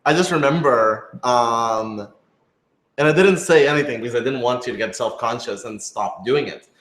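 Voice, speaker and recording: A man chatting casually and thinking aloud as a writer answering questions on a panel, in an animated, informal way. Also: mid-range voice, moderate pace. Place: echoey room, live streamed panel. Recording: roomy, echoey sound.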